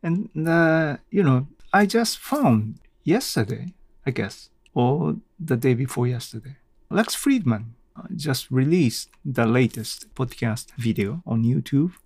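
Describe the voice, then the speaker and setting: A man talks casually and alone as a podcast host, taking his time over each phrase. His voice has a creaky, gravelly edge and is recorded dry, with very little room sound. He is hesitant, often searching for the next word. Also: mid-range voice, lively intonation, darkish tone, no echo. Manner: halting, hesitant, searching for words. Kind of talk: casual solo audio blog.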